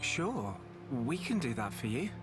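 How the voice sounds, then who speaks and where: A man's voice: medium pitch, very animated, unhurried. An actor playing an earnest, helpful young hero in a video game, sincere and polite in his delivery. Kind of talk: video game cutscene acting. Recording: game music behind the voice.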